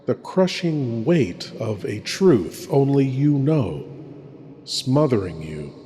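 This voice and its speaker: A man's voice: medium pitch, very animated, takes it slowly, mellow. A man playing an ominous horror host, delivering a solemn, dramatic scripted monologue. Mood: brooding, ominous. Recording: long cavernous reverb, faint noise.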